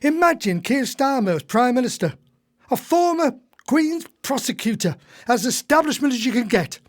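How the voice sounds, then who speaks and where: Actor as exasperated retired husband, ranting. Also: male voice, very high-pitched, big pitch swings, normal speed. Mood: indignant, exasperated. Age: older. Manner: long-winded, indignant. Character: retired architect husband, disillusioned old-fashioned socialist, ranting and exasperated. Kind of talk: radio play acting.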